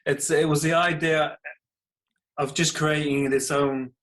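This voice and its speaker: A man's voice: on the high side, level tone, unhurried, fairly bright tone. A man with a British accent, talking casually and modestly about his own creative work as an interview guest. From United Kingdom. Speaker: podcast guest, comedy writer and creator.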